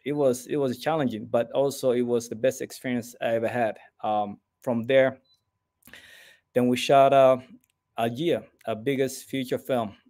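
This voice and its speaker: A man from Somalia, guest on a video-call interview, talking haltingly with many fillers. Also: medium pitch, darkish tone. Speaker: guest filmmaker. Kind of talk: casual interview. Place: home room.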